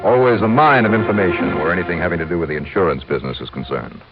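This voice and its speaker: Actor as deep-voiced, dogged radio detective, old muffled recording. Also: male voice, rapid-fire, over music, close to an everyday voice. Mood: wry, brisk. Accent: American. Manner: clipped, punchy, wry delivery. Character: wry, dogged male freelance insurance investigator. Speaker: lead actor and narrator. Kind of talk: 1950s radio detective drama acting, first-person narration.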